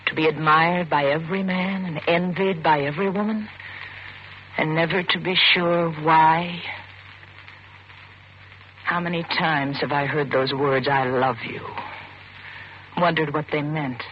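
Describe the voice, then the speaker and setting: An actress playing an embittered young murder suspect with intense, theatrical delivery in an old-time radio suspense drama. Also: female voice, deep voice, steady tone, a little slow, pauses often. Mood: bitter, searching. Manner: intense, theatrical, rhetorical questioning. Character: young, beautiful, embittered wife accused of killing her husband. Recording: muffled, noisy vintage radio recording.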